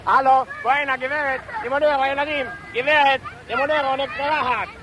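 An actor in a radio play doing a very high, shrill voice that babbles half-gibberish in a singsong way. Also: male voice, fairly even intonation, moderate pace, background noise. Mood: babbling.

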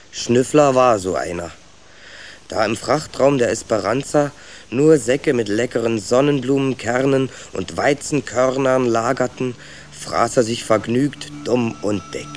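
A man's voice: medium pitch, lightly acted. A man playing a reflective, wry rat who narrates his clan's voyage in a children's radio play. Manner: thoughtful, wry storyteller.

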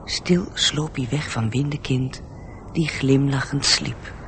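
An actor playing a measured storybook narrator in a radio drama. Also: male voice, mid-range voice, fairly bright tone, a little hiss or hum. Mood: hushed, storytelling.

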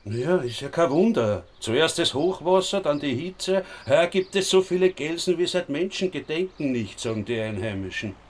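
An actor playing a sardonic, pedantic police inspector in a radio drama, with an Austrian accent and a dry, wordy delivery. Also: male voice, a little high, expressive, normal speed, hardly any pauses. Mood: explanatory.

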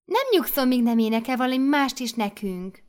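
A woman reading aloud and voicing a doting, excitable older mother superior who gushes over a new girl. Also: on the high side, voice pushed up, natural voice. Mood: gushing. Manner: gushing, effusive, commanding.

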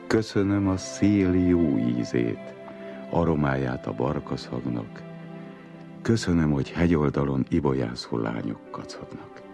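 Extremely deep, creaky man reciting poetry. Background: instrumental music.

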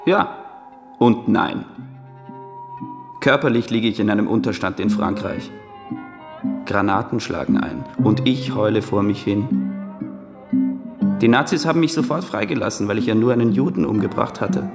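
An actor in a radio drama playing a mad murderer speaking from beyond death, detached and fatalistic. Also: male voice, on the low side, normal speed, gravelly, long pauses. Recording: long reverb, guitar music behind.

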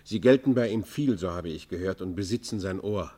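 A repentant robber in a classical radio play. His voice sits low and his speech is formal and declamatory. He pleads for mercy with stubborn pride, stressing his words emphatically. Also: fairly quick, mellow. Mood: urgent pleading. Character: proud, remorseful outlaw robber pleading for mercy. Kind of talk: German radio play, classical literary acting.

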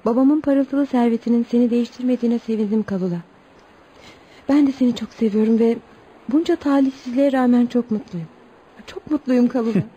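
Casting: loving young heroine for radio theatre, steadfast, earnest, mellow fairly high voice. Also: female voice, brisk, breathy edge. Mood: tender. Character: devoted, steadfast young girl in love. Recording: dull, faintly noisy radio recording.

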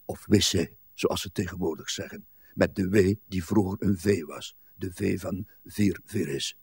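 An actor playing a weary, self-absorbed old husband in a literary radio drama, telling things in a dour, hesitant way with a husky voice. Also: male voice, low voice, steady tone, a little mellow, no echo, heavily acted. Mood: agitated, indifferent. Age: older. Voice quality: husky, breathy. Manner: dour, deliberate storytelling with hesitations.

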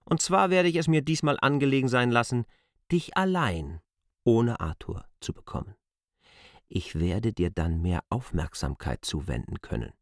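An actor playing K, a stern and scheming land surveyor, threatening in a cold, carefully reasoned way in a radio play. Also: male voice, mid-range voice, animated, normal speed, dry recording, clean studio sound, natural voice. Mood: mocking. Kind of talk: German radio play acting.

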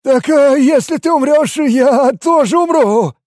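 A man's voice: very high-pitched, expressive, slow pace, mellow, slightly rough, no room sound, studio quality, voice pushed up, exaggerated voice. A man voicing a panicky, cowardly, foul-mouthed young male sidekick with a frantic, whiny delivery in an audiobook. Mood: scared, agitated.

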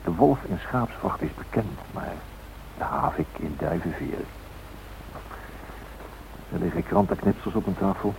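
A man's voice: deep voice, expressive, moderate pace, vocal fry, long pauses, darkish tone, rough recording. An actor playing a wry, knowing male narrator in a radio play, speaking in terse phrases. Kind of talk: scripted radio drama narration. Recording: faint background noise on old recording.

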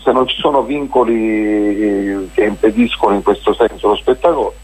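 Husky man, rambling on the radio. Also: fairly low pitch, fairly even intonation, moderate pace, darkish tone, poor audio. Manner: rambling, hesitant, many fillers and restarts. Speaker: festival organizer, interview guest. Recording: radio broadcast.

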